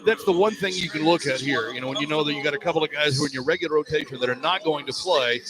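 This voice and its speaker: A man's voice: on the high side, racing, poor audio. A man working as a play-by-play sportscaster, giving live pre-game commentary on sports radio. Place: courtside in a sports arena. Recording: crowd noise of an arena. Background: arena crowd.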